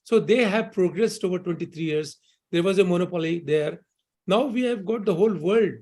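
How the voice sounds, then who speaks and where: A man's voice: sits high, moderate pace, darkish tone. Surgeon, male, upper register, upbeat webinar answers peppered with "you know". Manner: fluent, optimistic, repeats "you know" and "I think".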